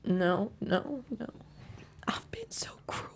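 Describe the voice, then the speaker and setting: A woman's voice: medium pitch, huge pitch swings, takes it slowly, vocal fry, breathy edge, whispered, exaggerated voice. A woman playing a proud, wounded, assertive conservative radio host and mother at a script table read. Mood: anguished. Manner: assertive, polished on-air patter.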